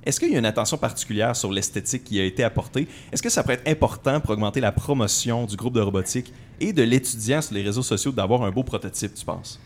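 A man's voice: medium pitch, quick pace, hardly any pauses, a little hiss or hum. A young man, hosting a podcast interview in a playful, joking way. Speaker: podcast host. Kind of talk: casual interview chat.

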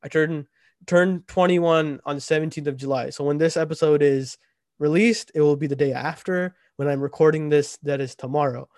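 A man's voice: fairly high pitch, fairly even intonation, normal speed, darkish tone, no echo, studio quality. Twenty-year-old man, casual rambling host. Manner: rambling, filler-heavy, keeps asking "right?". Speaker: podcast host. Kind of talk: casual podcast chat.

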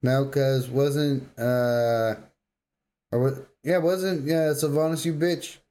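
A man's voice: medium pitch, steady tone, slow, warm tone. A man co-hosting a casual gaming podcast, bantering in informal slang with emphatic agreement. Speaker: podcast co-host.

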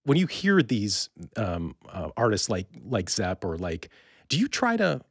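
A man hosting a casual interview podcast, speaking haltingly and rephrasing his thoughts and questions as he goes. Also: fairly low pitch, wildly swooping pitch, breathy edge, dry recording, studio quality.

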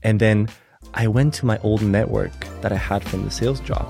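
A young man from Switzerland, a podcast guest, telling his story in a relaxed, halting way with many fillers. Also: fairly low pitch, vocal fry, music in the background. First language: Swiss German. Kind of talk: casual interview storytelling.

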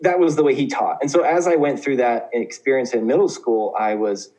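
Hesitant American man, mid-pitched voice. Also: a little fast, a little mellow, rough recording. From Oklahoma, USA. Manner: hesitant, many fillers and restarts. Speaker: guest teacher. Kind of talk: conversational interview.

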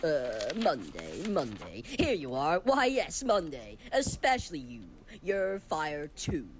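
A man acts out a doddery-minded company boss for a comedy audio play. He rambles and loses his thread, cheerfully oblivious and tactless, with pitch that leaps about in a very lively way. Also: heavily acted. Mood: rambling, oblivious. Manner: halting, forgetful, cheerfully oblivious. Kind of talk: comic audio play acting.

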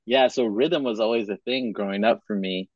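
Chill young guy from madagascar hosting a podcast, lowish voice, kinda dull dry lo-fi sound. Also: moderate pace, no room sound. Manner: relaxed, says bro and dope a lot, thinks aloud with restarts. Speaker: podcast host. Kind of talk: casual podcast chat.

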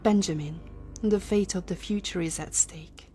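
A woman's voice: on the low side, moderate pace, mellow, faint music behind, lightly acted. Woman playing a cold, commanding mission scientist. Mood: urgent, commanding. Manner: formal, clipped, imperious. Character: cold, commanding female scientist leading a time-travel mission. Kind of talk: scripted sci-fi audio drama acting.

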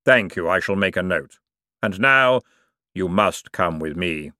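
Man doing Death, slow and solemn. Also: sits low, lively intonation, sharp tone, no room sound, clean studio sound, heavily acted. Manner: slow, measured, grave delivery. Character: Death, ageless male spirit, solemn, formal, patient.

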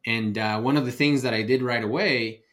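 A man's voice: mid-range voice, steady tone, normal speed, fairly bright tone. A middle-aged man from Ecuador, a native Spanish speaker, telling his personal story as a podcast guest. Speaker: podcast guest, real estate investor.

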